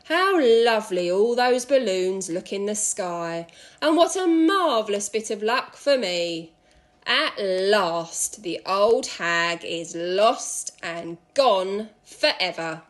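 A woman's voice: mid-range voice, animated, takes it slowly. A woman voicing a nasty, gloating husband who speaks with mock-sweet concern in a children's storybook. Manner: mock-sweet, exclaiming, drawn out.

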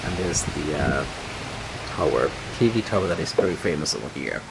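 A man giving a casual, unscripted hotel room walk-through as a travel blogger, halting and repeating words, recorded on a handheld camera. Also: extremely low pitch, expressive, gravelly, noisy background. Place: indoors, moving around a hotel room. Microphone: handheld camera, operator behind it.

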